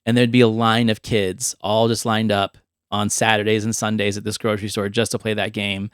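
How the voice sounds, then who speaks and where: A man's voice: lowish voice, level tone, fairly quick, clear, resonant tone, no echo, studio quality. A middle-aged man hosting a casual film and games podcast, chatting with an enthusiastic storytelling manner. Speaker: podcast host. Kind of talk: casual chat about films and games.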